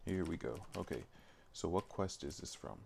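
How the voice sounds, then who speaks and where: A man with an extremely low, creaky voice gives casual live-stream commentary. He speaks in halting bits, muttering as he works things out. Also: animated, slight background noise. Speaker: live streamer working on a game mod. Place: indoors at a computer, keyboard typing.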